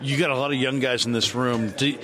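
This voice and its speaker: Male American sports reporter, conversational interviewer, mid-range voice, recorded amid clear locker-room chatter. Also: medium pitch, steady tone, fairly quick. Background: crowd chatter.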